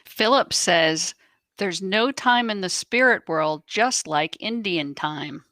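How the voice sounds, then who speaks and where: A woman co-hosting a stream, reading viewer comments aloud in a deliberate way. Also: lowish voice, lively intonation, sharp tone, no room sound.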